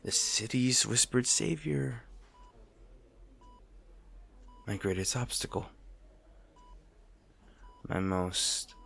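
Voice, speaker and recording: A man playing a brooding supervillain bitterly attached to his rival, in a hushed, theatrical audio roleplay monologue with deliberate pauses. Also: mid-range voice, expressive, gravelly, breathy edge, exaggerated voice. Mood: brooding, taunting. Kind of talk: dramatic audio roleplay monologue, addressed to the listener.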